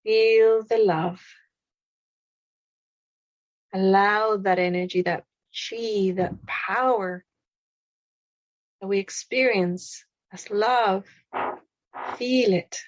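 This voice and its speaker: Female meditation guide. Unhurried, gentle phrasing with long pauses; dry, slightly dull lo-fi recording. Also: medium pitch, steady tone, no echo. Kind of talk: guided meditation, spoken slowly.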